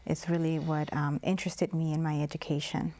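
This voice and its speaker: A woman from Mexico talks through her story on a community TV interview. Her voice sits a little low, and she hesitates often, circling back with fillers as she thinks aloud. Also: flat delivery, brisk, dark tone. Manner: thoughtful, hesitant, many fillers and restarts. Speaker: guest. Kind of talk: public-access TV interview.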